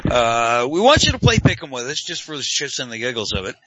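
A man's voice: mid-range voice, very animated, brisk, noisy background. A man from Canada hosting a casual talk show, joking and sarcastic. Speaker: podcast host. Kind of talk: casual chat. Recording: dull lo-fi dry sound.

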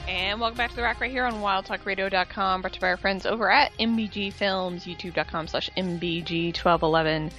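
A woman co-hosting a casual wrestling talk radio show, chatty and animated, saying her voice does not go low. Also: brisk, hardly any pauses, faint music behind. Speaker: podcast co-host.

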